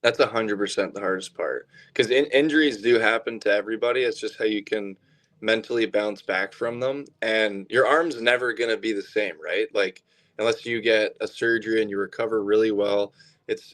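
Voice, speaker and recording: A man's voice: on the low side, steady tone, moderate pace, a bit bright. A man, answering casually and reflectively as an athlete in an interview. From southern Alberta, Canada. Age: 20s. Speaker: baseball pitcher being interviewed.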